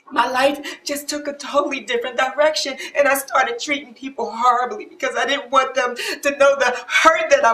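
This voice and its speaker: A woman's voice: high voice, fairly bright tone. A woman playing a hurt, regretful woman in her forties in a stage play, plaintive and emphatic. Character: hurt, regretful woman nearly forty-five who gave up dancing. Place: theater stage. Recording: echoey stage, picked up from far off.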